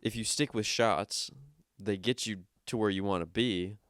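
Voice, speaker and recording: A man's voice: lowish voice, fairly even intonation, unhurried, a bit bright, clean tone, no echo, studio quality. A man around twenty co-hosting a podcast in casual chat, with a nasal voice, teasing and laughing. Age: 20s. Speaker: podcast co-host.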